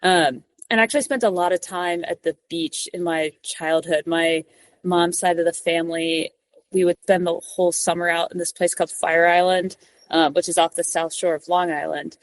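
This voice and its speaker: A woman's voice: on the low side, steady tone, moderate pace. A young woman from New York City answering interview questions from her office cubicle, thoughtful and full of "like" and restarts. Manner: thoughtful, many "like" fillers and restarts. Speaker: podcast guest, mountain guide.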